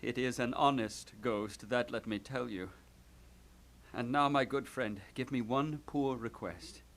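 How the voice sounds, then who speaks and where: A man's voice: mid-range voice, unhurried, fairly bright tone. A man playing an anguished, brooding young prince out for revenge, declaiming in a theatrical Shakespeare puppet show. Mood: urgent, secretive. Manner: declamatory, dramatic.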